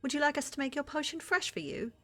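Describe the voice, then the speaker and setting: A woman's voice: high voice, expressive, fast talker, bright, forceful tone. A woman playing a flirty, motherly witch shopkeeper in an ASMR fantasy roleplay, with a coaxing, teasing manner. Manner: coaxing, teasing, addresses listener as dear.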